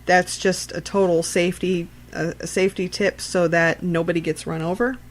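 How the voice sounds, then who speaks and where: A woman's voice: on the low side, flat delivery, normal speed. A woman co-hosting a casual show, earnestly explaining safety tips at length. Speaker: podcast co-host. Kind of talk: casual chat.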